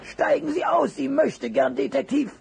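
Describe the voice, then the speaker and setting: Irate domineering client, high strained creaky voice with big swings, on noisy old tape. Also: male voice, moderate pace, full character voice. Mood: furious. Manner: blustering, curt. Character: irate male client, impatient and domineering, ordering people out. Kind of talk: German detective radio play for children. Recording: noisy old tape, loud background rumble.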